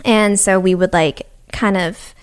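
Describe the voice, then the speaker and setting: Young woman, casual interview guest, chatty, fillers, easy laughs, medium pitch. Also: fairly even intonation, a little slow, smooth. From Massachusetts, USA. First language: Japanese. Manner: chatty, heavy use of "like", laughs easily.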